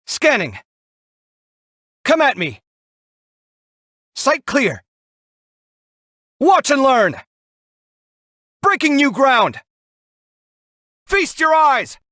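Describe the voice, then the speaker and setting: A man's voice: extremely high pitch, wildly swooping pitch, extremely slow, long pauses, bright, forceful tone, dry recording, studio quality, full character voice. A man voicing an earnest, proud young male architect in an anime-style video game, speaking articulately and theatrically. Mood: excited battle cries. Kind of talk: animated anime-game voice acting.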